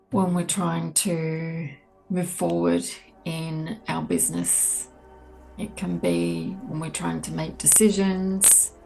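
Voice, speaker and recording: Woman doing guided meditation, real slow n gentle, bit of creaky fry, music playing behind her. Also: fairly low pitch, rough recording. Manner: gentle, measured, with long pauses. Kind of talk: guided meditation, soothing spoken instruction. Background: soft ambient meditation music.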